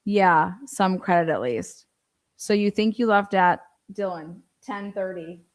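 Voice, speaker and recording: A woman's voice: medium pitch, a little slow. A woman giving informal true-crime commentary, reading from a transcript and breaking in with pointed, skeptical asides and rhetorical questions.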